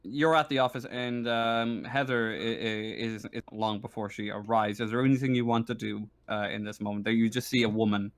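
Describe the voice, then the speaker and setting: A man working as game master, improvising narration of a tabletop roleplaying game in a halting way, often stammering and repeating words. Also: fairly low pitch, steady tone.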